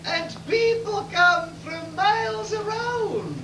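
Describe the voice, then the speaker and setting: A woman playing a gushing, saucy comic cook in an amateur pantomime, with drawn-out theatrical delivery. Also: extremely high pitch, over music, exaggerated voice. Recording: distant echoey bar, crowd and noise.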